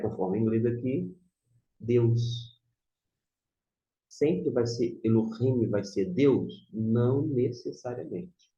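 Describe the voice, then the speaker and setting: A man's voice: medium pitch, dark tone. A man with a Brazilian Portuguese accent giving an informal tutorial as a language teacher, didactically repeating and sounding out words. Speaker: Biblical Hebrew teacher. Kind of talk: informal screen tutorial lesson. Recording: muffled, echoey room.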